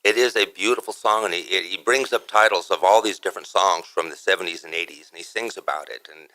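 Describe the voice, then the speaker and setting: A man's voice: sits low, quick pace, pushed and bright, rough recording. A man who works as a radio DJ, chatting casually as an agreeable interview guest. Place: radio studio.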